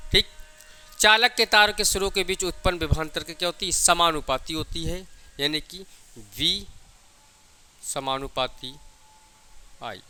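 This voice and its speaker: A man's voice: high voice, sharp tone, clean tone. A man teaching a physics lesson, dictating definitions, repeating key phrases and answering his own questions. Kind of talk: classroom lecture with dictated definitions.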